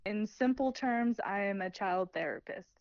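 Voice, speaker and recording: A woman's voice: medium pitch, moderate pace, no echo, dull audio. A woman from Oklahoma chatting casually as a guest on a podcast. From Oklahoma, United States. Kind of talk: casual chat.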